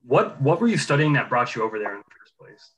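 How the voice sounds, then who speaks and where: A man's voice: medium pitch, very animated, fast talker, fairly bright tone, low-quality recording. A man interviewing a guest, chatting casually and asking curious follow-up questions.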